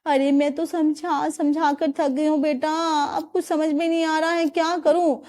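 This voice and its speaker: A woman voicing a weary, low-voiced mother-in-law. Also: level tone, moderate pace, dark tone, lightly acted. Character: stern older mother-in-law, weary and troubled.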